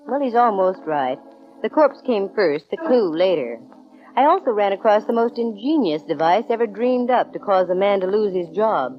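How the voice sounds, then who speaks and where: A woman acting a breezy female private eye in a 1940s radio play. She tosses off wry, flippant quips in a mid-pitched voice. The recording is dull and vintage. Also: expressive, moderate pace, lightly acted. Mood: wry storytelling.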